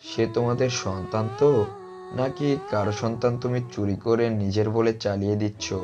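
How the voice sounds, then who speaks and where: A man's voice: fairly low pitch, fairly even intonation, normal speed, natural voice. Man acting a harsh, scornful father, muffled. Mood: bitter scorn. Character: cruel, contemptuous father disowning his dark-skinned son. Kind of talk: dramatized audio story acting. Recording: muffled lo-fi track under loud music.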